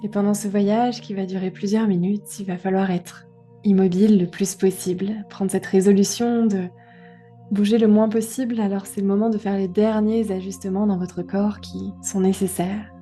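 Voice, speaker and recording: Mellow, even-toned woman guiding meditation. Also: medium pitch, normal speed, faint music behind. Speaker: yoga nidra guide. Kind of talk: guided relaxation meditation.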